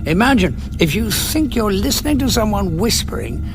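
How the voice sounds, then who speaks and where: An actor playing a clever, irascible time-travelling hero, brisk and wry, in an audio drama. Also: male voice, sits high, very animated. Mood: wry confidence.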